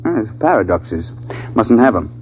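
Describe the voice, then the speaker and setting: An actor playing a brisk, commanding British big-game guide who tells his story in 1950s radio drama style, clipped and authoritative. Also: on the low side, very animated, a little hiss or hum. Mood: calm explaining. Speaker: narrator and safari leader. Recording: dull vintage 1950s radio broadcast.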